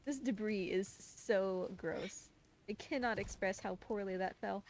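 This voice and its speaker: A woman's voice: medium pitch, a little slow, a little mellow. Woman doing game commentary over noisy background. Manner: playful, coaxing asides to a game character. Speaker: speedrunner. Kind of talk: live gameplay commentary. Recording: clear background game noise.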